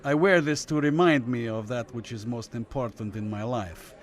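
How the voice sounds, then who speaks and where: A courtly Cold War communist official from Lithuania , acted by a man, in a radio thriller. He talks in measured, formal phrases with quiet menace and condescension, in a medium-pitched voice. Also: natural voice. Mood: courtly, sardonic.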